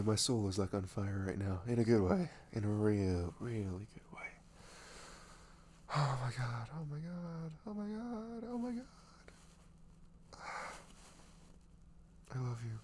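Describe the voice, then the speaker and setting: A man playing a nervous, dorky best friend confessing love in an intimate ASMR roleplay, halting and stammering, with sighs and soft breathy moments. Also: mid-range voice, background noise. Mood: overjoyed, tender. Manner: halting, stammering, sighing, breathy laughs, often dropping to whispers. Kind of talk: roleplay ASMR audio drama, intimate and conversational.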